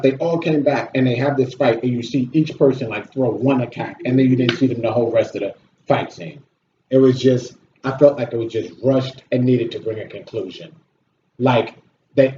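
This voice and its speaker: A man's voice: medium pitch, fairly quick, warm tone. A man giving a casual, opinionated movie review as a video host, blunt, complaining and swearing freely. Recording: roomy echo, dull audio, slight noise.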